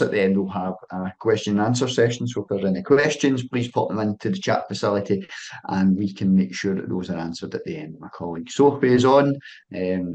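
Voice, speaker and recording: A young Scottish man presenting a business software webinar over a video call, friendly and full of "um"s and restarted phrases. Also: deep voice, rough recording. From Scotland. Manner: filler-heavy, restarts phrases, friendly sales tone.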